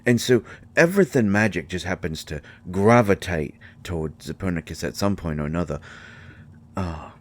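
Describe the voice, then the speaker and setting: A man playing a shy, kindly mushroom fairy in a roleplay audio drama, speaking haltingly with folksy, ungrammatical phrasing. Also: low-pitched, animated. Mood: explaining, reverent. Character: shy, kindly male mushroom fairy living in magic library. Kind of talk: scripted roleplay audio drama addressed to the listener.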